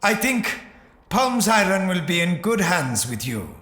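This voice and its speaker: Man playing a high-voiced, hesitant, humble wasteland sidekick. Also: sing-song, a little slow, pushed and bright, exaggerated voice. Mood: earnest, wistful. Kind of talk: audio drama acting. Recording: echoey hall reverb.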